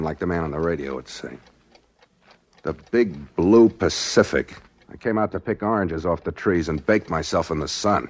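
A man playing a desperate small-time loser on the run in 1940s radio acting, anguished and pleading, on an old radio recording. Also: deep voice. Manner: anguished, pleading, stammering under pressure. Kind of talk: 1940s radio acting, first-person noir narration.

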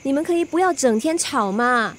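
A woman's voice: sits high, lively intonation, moderate pace. A woman playing a caring, cheeky young granddaughter in a radio drama, with a coaxing, pleading manner. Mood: exasperated.

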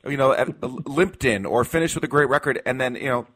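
A man's voice: medium pitch, fairly quick, a bit bright. A man in his forties with an American accent, hosting casual sports talk on the radio. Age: 40s.

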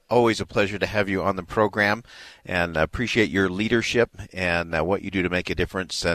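A man's voice: low voice, fairly bright tone, no room sound. A man hosting a live radio broadcast, speaking in an earnest, affirming way as he interviews and comments. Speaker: radio host.

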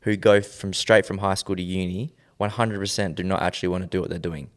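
Twenties man, low voice, relaxed slangy podcast guest. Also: fairly quick. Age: 20s. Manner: laid-back, slangy, says "bro" often. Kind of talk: casual chat.

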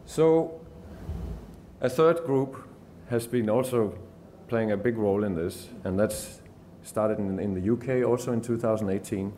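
A man's voice: medium pitch, darkish tone, slight background noise. A man with a Danish accent giving an earnest conference speech as a journalist, often restarting and repeating words. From Denmark. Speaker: journalist giving a summit talk.

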